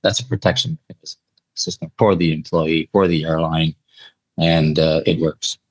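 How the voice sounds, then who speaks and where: A man from Eastern Canada speaking as an interview guest, telling anecdotes in a conversational, measured and reflective way. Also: a bass voice, level tone, dry recording, rough recording. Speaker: interview guest, air traffic controller telling stories.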